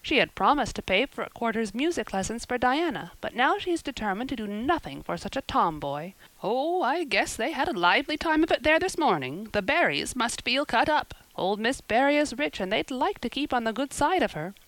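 A woman's voice: a little high, big pitch swings, normal speed, hardly any pauses, fairly bright tone, dry recording, pitched above the performer's own voice, low-quality recording. Woman doing a gossipy, self-assured older neighbor. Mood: knowing. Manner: knowing, self-satisfied, repeats a pet phrase.

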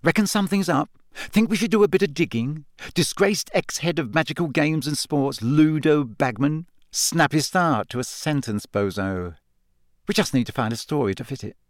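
A man voicing a sly, cold woman gossip reporter who talks fast and smug in an audiobook. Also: on the high side, dry recording, clean studio sound, pitched above the performer's own voice, natural voice. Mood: scheming. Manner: fast-talking and smug, sweetly mocking. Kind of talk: audiobook character voice.

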